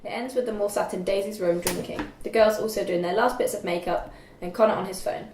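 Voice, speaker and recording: A young woman narrates scene directions from a script in a mid-pitched voice. She sits away from the mic in an echoey room with faint noise. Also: steady tone, brisk, darkish tone, distant mic. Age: young adult. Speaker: stage-direction reader.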